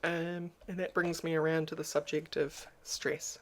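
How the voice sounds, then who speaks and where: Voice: mid-range voice, flat delivery, measured pace. A YouTuber with a crackly voice, talking in a subdued and hesitant way in a personal vlog, with frequent ums. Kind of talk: personal vlog talk to camera.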